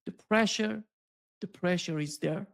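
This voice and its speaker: Higher-pitched, very creaky man commenting. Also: takes it slowly. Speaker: show host. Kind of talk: unscripted political commentary.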